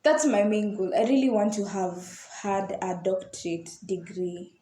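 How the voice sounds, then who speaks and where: A woman's voice: mid-range voice, a little slow, warm tone. A young adult woman with a Kenyan accent chatting casually on a podcast panel, repeating phrases for emphasis. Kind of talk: casual group chat. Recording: roomy echo, faint background noise.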